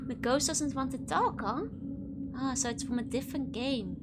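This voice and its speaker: A woman streaming a game in casual chat, talking along with sudden excited exclamations and reading out viewer messages. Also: high voice, very noisy. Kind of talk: casual let's-play chat. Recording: loud game music behind the voice.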